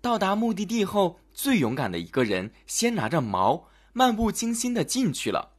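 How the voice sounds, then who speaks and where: A storyteller narrating a scripted fairy tale for children in an expressive, dramatic manner. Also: medium pitch, normal speed.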